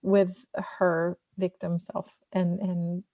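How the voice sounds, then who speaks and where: A woman's voice: fairly low pitch, monotone, slow, mellow, no echo. A woman moderating a conversational podcast discussion and putting questions to the guests.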